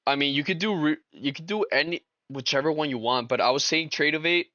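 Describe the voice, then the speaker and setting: A man's voice: fairly high pitch, a little fast, bright, forceful tone, no room sound, highs cut off. A young man hosting a casual trading live stream, laid-back and slangy, talking to his chat. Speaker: livestream trader and host.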